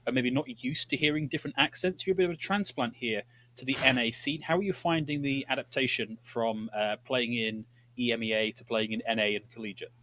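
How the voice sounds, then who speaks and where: A man's voice: mid-range voice, brisk, pushed and bright. A man hosting an esports interview speaks in an ordinary mid-range voice, asking his questions politely and stopping on fillers as he goes. A faint hiss of noise sits behind him on the video call line. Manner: polite, hesitant, many fillers.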